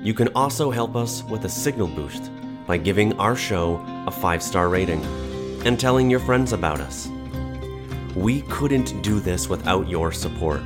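A man reading a podcast outro in a measured, slightly ominous tone. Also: low-pitched, animated. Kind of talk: scripted outro. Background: loud music.

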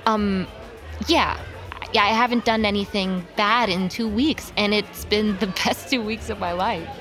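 A woman's voice: medium pitch, steady tone, a little slow, a bit bright, natural voice. An actress playing an earnest, guarded young woman in an audio drama, with a hesitant, careful way of talking. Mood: awkward, guarded. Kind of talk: audio drama acting, naturalistic dialogue. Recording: music behind the voice.

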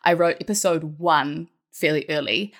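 A woman's voice: low-pitched, normal speed, fairly bright tone. A woman talking casually as a writer guest on a podcast, rambling and correcting herself as she goes. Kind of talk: casual panel chat.

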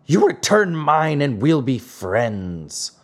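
A man's voice: medium pitch, very animated, slow pace. A man as a surly old storybook carpenter. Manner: surly, defensive. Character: old male carpenter, surly but friendly, weary.

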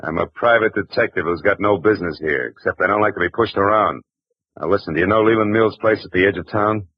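An actor playing a wisecracking hard-boiled private detective who also narrates, in a 1940s radio drama with a dull old broadcast sound. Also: male voice, deep voice, fast talker, no echo. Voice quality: husky, clipped, tough-talking. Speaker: narrator and lead.

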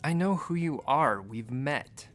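A man's voice: mid-range voice, expressive, takes it slowly, a bit bright. A man playing a jaded doctor in a sci-fi audio drama, with a dry, wry and blunt delivery. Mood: exasperated, blunt. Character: jaded, sardonic male space doctor. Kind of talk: audio fiction acting.

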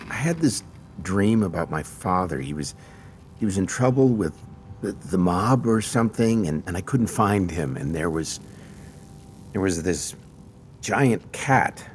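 A deep male voice over music, slow and halting, with swooping intonation. a stressed but affectionate client in an intimate audio drama scene. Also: animated, a little hiss or hum. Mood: drowsy, troubled.

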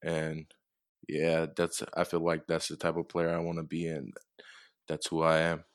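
A man's voice: a bass voice, completely flat delivery, measured pace, smooth, dry recording, clean studio sound. A young man from Tonga giving casual, somewhat hesitant interview answers as a college football player. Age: young adult.

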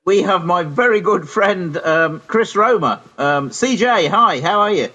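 A man's voice: sits high, expressive, a little slow, bright, forceful tone, slightly rough, lo-fi sound. A British man chatting casually and chummily as co-host of a radio show, with a teasing streak.

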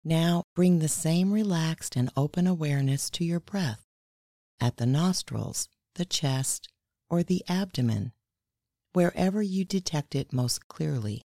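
A woman hosting and leading a guided meditation in a measured, instructional way, reading scripted narration. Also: low-pitched, lively intonation, dark tone, dry recording, studio quality. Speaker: meditation guide and podcast host.

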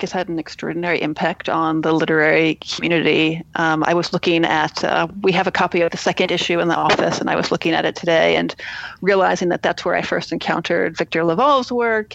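A woman editor being interviewed on a podcast, answering in a thoughtful, measured way and often restarting her phrases. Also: lowish voice, creaky, dry recording, lo-fi sound. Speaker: literary magazine editor, guest.

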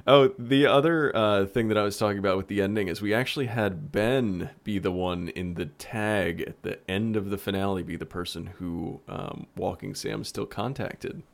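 A man's voice: fairly low pitch, normal speed, creaky, few pauses. A man in a casual roundtable chat, explaining things in an analytical way as a show creator.

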